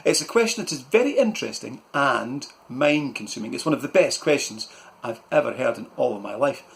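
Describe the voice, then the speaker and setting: A man's voice: mid-range voice, expressive, brisk, creaky. A man voicing a blustering, self-important middle-aged uncle who barks questions. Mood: pompous. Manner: loud, barking, self-important.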